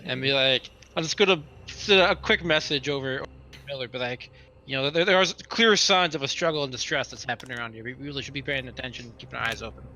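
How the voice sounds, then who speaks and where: A man's voice: medium pitch, quick pace, bright, forceful tone, low-quality recording. A man chatting casually as a player in a tabletop roleplaying game.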